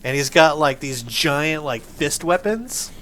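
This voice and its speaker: A man's voice: a little high, animated, takes it slowly, fairly bright tone, slight background noise. A man hosting a gaming video, giving casual, enthusiastic gameplay commentary in an informal, explaining way. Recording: game music behind the voice.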